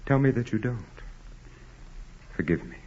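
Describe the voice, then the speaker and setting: An actor playing a wealthy, commanding aristocrat, formal, grave and insistent, in 1940s radio drama style. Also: male voice, medium pitch, animated, moderate pace, a little mellow, lightly acted. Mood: intense, insistent. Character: wealthy aristocratic landowner, commanding, brooding, intense suitor. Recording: muffled, noisy old radio broadcast.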